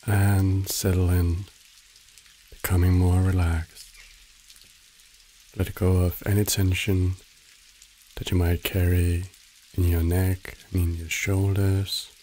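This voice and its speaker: Guy doing guided meditation, super slow n mellow, soothing, long pauses, bit of rainy hiss behind him. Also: low-pitched, flat delivery, rough recording. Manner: soothing, measured, instructing in short phrases with long pauses.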